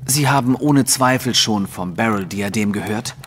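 A man's voice: on the low side, moderate pace, lightly acted. Actor as haughty nobleman in reverberant room. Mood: imperious, assured. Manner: formal, commanding, self-assured. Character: haughty, secretive nobleman of high rank. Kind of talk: audio drama acting. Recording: reverberant hall, faint background noise.

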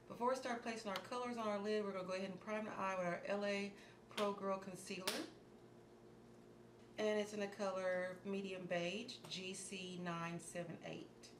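A woman in her thirties hosting a step-by-step makeup tutorial in a small indoor room. She talks in a calm, instructive way and sounds a little hoarse, as if losing her voice. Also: mid-range voice, normal speed. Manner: calm, instructive, softens to near murmur while working. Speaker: beauty vlogger. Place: small indoor room against a plain wall. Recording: echoey room with background hiss.

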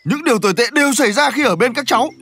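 A woman dubbing an excitable, grumpy animal uncle who farms, in a children's cartoon, with a blustering, exclamatory delivery. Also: sits high, racing, a little hiss or hum. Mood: cross, blaming.

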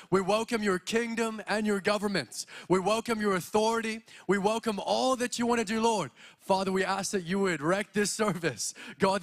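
A young Australian man preaches from a church stage with a bright, high-pitched voice. He talks energetically into a handheld mic, exhorting the congregation as he moves about. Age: young adult. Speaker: guest preacher. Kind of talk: sermon.

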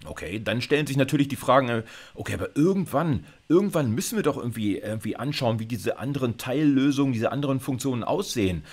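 A man's voice: medium pitch, lively intonation, fast talker, few pauses, darkish tone. A man in his fifties giving an explanatory university video lecture in an informal, emphatic, conversational way, often checking in with short tag questions. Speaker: university computer science lecturer.

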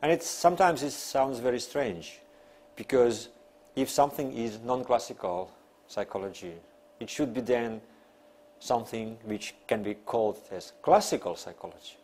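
A man in his fifties, a non-native English speaker, giving an academic lecture in deliberate, emphatic phrases with pauses. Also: mid-range voice, a little mellow. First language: not English. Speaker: university lecturer. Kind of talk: academic lecture, partly read from notes. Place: small classroom, seated at a desk.

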